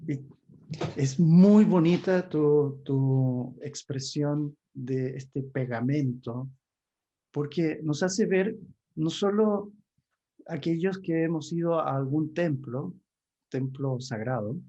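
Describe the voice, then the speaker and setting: A man's voice: a little high, slow pace, dark tone. A man hosting an interview, asking his questions hesitantly and searching for words with many restarts. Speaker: interview host. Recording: dull lo-fi sound.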